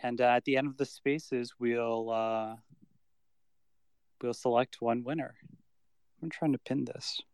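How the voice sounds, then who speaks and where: Man hosting a podcast, smooth clear voice, dry sound, upbeat. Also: medium pitch, a little slow, pauses often, dry recording. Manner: upbeat announcer delivery in the intro, relaxed after. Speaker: host. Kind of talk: podcast host, scripted intro then casual chat.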